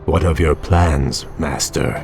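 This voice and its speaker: An actor playing a young, earnest elf prince in a fantasy game, formal and deferential, with music behind. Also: male voice, very deep voice, fairly even intonation, slow, full character voice. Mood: humble, questioning. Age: young adult. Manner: formal, respectful. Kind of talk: fantasy game character acting.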